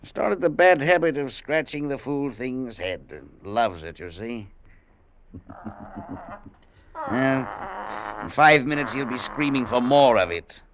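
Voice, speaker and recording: An actor playing a brusque, coldly logical, misanthropic professor in an old-time radio drama, with clipped, imperious delivery. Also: male voice, medium pitch, very animated, slow pace, creaky, pauses often, slight background noise, heavily acted. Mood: irritable. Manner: clipped, blunt, imperious, dismissive.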